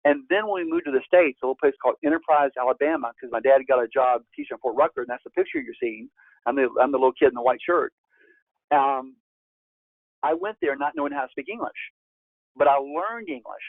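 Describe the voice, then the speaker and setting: Gravelly man on a tinny call line. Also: expressive, a little fast, no echo. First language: Spanish. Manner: emphatic teacher, sudden upward pitch jumps on key points. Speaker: real estate investor presenting a course. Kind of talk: webinar presentation. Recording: tinny phone-like line, dry.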